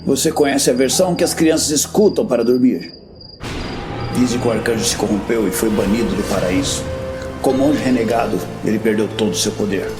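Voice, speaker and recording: An actor playing a grim, world-weary veteran warrior master in a fantasy audio drama, speaking bluntly and solemnly. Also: male voice, mid-range voice, brisk, natural voice. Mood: grave, confiding. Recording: background music.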